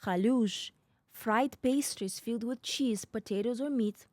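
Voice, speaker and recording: A woman's voice: a little high, measured pace, a little mellow, no echo. Woman from the North Caucasus, wry podcast host. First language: a North Caucasian language. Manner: wry, ironic asides. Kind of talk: explanatory podcast monologue.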